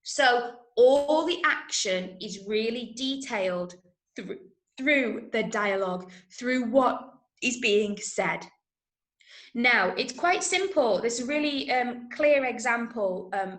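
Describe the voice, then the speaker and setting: A woman's voice: a little high, slow pace, a bit bright. A woman from Manchester with an English accent, teaching a script-writing workshop and often checking that listeners follow. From Manchester, England. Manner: explanatory, checks understanding with questions. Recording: roomy, echoing room sound.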